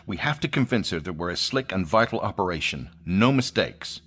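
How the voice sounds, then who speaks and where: A man's voice: low voice, animated, fairly quick, fairly bright tone, slightly rough, full character voice. A man playing a fussy, eccentric space commander with a pompous manner in a sci-fi audio drama. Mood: brisk, irritated.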